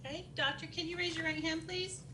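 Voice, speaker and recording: A woman's voice: steady tone, fairly quick. A woman working as a court reporter, speaking in a formal, recited manner. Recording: noisy, rumbling video call.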